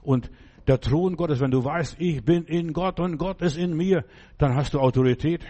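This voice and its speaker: A man's voice: fairly high pitch, normal speed, dark tone, muffled recording. A man preaching a fervent, emphatic sermon in German, which is not his first language, repeating phrases for emphasis.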